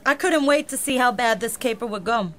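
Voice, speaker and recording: A woman's voice: a little high, a little fast, a bit bright, lightly acted. A woman playing a feisty, short-tempered skeptic in a comedic sci-fi radio drama, snappy and sarcastic.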